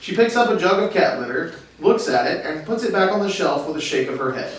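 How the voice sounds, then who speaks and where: A man's voice: a little high, sing-song, brisk. A man reading stage directions as the narrator of a script table read. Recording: echoey room, far mic, faint noise.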